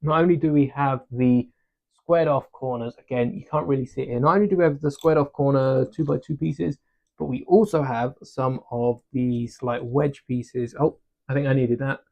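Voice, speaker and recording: A man hosting a long live stream from his desk, chatting casually and thinking aloud as he builds, with a relaxed, self-deprecating manner. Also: mid-range voice, moderate pace, creaky, highs cut off. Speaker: livestream host building a brick set. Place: home desk during a livestream. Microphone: desk microphone.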